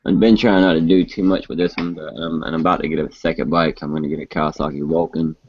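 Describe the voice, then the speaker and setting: A man's voice: a bass voice, fairly even intonation, quick pace, no room sound. A man in his late twenties chatting casually about motorcycles in a rambling, laid-back way with frequent restarts. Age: 20s. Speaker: host. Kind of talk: casual chat. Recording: muffled lo-fi live stream audio.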